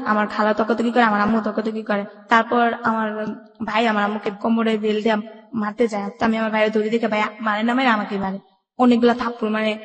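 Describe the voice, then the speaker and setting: A young woman with a colloquial Bangladeshi accent telling a personal story as a radio guest, in run-on everyday speech, recorded with an echoey reverb. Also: fairly high pitch. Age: young adult. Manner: colloquial, run-on recounting with quoted dialogue. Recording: echoey room with long reverb.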